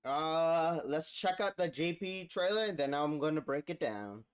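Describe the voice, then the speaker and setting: A man's voice: on the high side, animated, measured pace, smooth, soft background music. A young man hosting a gaming reaction video, talking casually in an informal, slangy, enthusiastic way.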